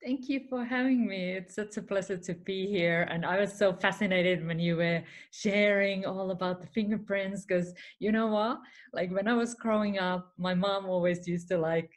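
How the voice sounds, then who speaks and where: A woman's voice: medium pitch, normal speed. A woman from Finland with a Finnish accent, talking earnestly as a podcast guest and laughing often. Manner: earnest, laughs often, non-native phrasing. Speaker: guest, spiritual mentor. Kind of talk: casual podcast interview.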